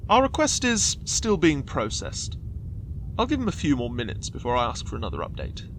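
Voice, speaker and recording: An actor playing a polite, reasonable starship crew member in a sci-fi audio drama, with courteous and precise wording. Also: male voice, fairly high pitch, huge pitch swings, moderate pace, a little hiss or hum, poor audio. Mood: reassuring.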